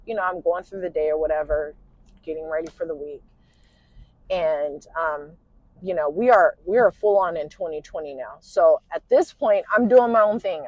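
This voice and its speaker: A tired woman with a husky, smoky voice tells a personal story in casual chat while driving in a car at night. She rambles and uses lots of "you know" fillers. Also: on the low side, no room sound, lo-fi sound. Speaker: podcast host.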